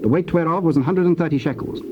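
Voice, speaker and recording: British guy narrating the bible, formal and precise, slightly high voice, noisy old tape. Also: fast, muffled recording.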